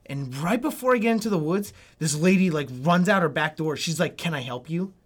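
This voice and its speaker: A young adult man chatting casually with friends, telling stories in an animated, slangy way with swearing. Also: a little high, a little fast. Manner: animated, profane, slangy storytelling. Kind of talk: casual storytelling chat among friends.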